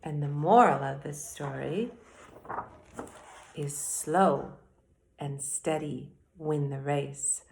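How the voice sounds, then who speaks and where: A woman teacher reading aloud and explaining to young children in a deliberate, expressive way, speaking to them directly. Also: sits low, gravelly, slight background noise. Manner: deliberate, addresses children directly with questions and asides. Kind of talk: expressive children's read-aloud and mini lesson.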